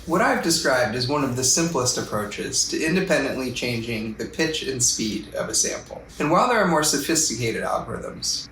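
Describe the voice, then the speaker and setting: A man's voice: mid-range voice, a bit bright, slight background noise, low-quality recording. A man narrating a scripted technical tutorial in a methodical, explanatory way, as a product demonstrator.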